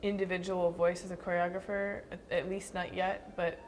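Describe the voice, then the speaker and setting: Soft-spoken, thoughtful young woman dancer. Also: fairly low pitch, flat delivery, moderate pace, slight background noise. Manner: thoughtful, hesitant with fillers and restarts. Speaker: dancer being interviewed. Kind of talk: casual interview answers.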